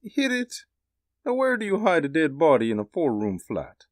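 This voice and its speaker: A performer voicing a blunt, skeptical male police officer who talks in gruff slang in a radio drama. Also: medium pitch, huge pitch swings, moderate pace, dry recording, studio quality. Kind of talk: hobby radio drama acting.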